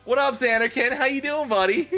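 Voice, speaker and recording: A man's voice: extremely high pitch, normal speed, bright, forceful tone. A man giving a comic dramatic reading for a live stream, theatrical and often breaking off into amused asides. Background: music.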